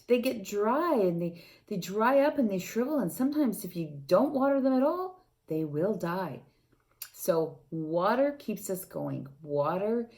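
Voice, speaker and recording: Woman, storytime reader for small children: unhurried, coaxing, playful, with a lively up-and-down delivery. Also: mid-range voice. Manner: gentle, coaxing, asks the young listeners questions; playful, with sound effects and exclamations. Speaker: teacher reading to children. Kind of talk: reading a picture book aloud to young children.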